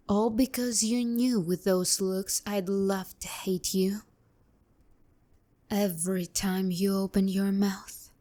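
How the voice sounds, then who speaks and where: A woman playing a haughty, teasing demon girl and bitter romantic rival in an intimate ASMR roleplay, sharp-tongued and slipping into whispers. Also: mid-range voice, close to an everyday voice.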